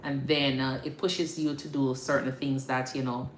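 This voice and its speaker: A woman's voice: very deep voice, flat delivery, moderate pace. A woman with a Jamaican accent chatting casually and informally on a livestream, often checking that listeners understand. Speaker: livestream host, nurse practitioner. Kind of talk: casual livestream chat to followers. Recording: echoey room with faint background noise.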